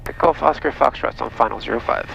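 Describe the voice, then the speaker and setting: A man's voice: medium pitch, fast talker, gravelly, fairly bright tone. A man piloting a light aircraft, reading back instructions over the aviation radio from the cockpit. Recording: muffled cockpit radio audio, faint engine noise.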